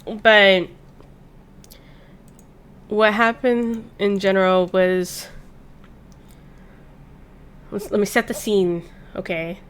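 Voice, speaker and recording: A woman's voice: mid-range voice, steady tone, extremely slow, long pauses, slight background noise. A woman in her thirties chatting casually and telling personal stories in an informal, rambling, sweary way, like a streamer. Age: 30s. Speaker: streamer hosting a chat stream. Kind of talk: casual chat, personal storytelling. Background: background music.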